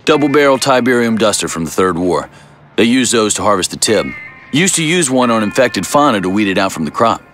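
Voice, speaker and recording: A man's voice: fairly low pitch, very animated, brisk, fairly bright tone. A man playing a weary farmer and ex-militiaman, narrating his story in a grave, plain-spoken way. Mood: earnest. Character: weary rural farmer and ex-militiaman, earnest drifter seeking work. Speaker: narrator and lead character. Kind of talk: dramatic scripted first-person narration. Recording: music bed under the voice. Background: prominent dramatic music.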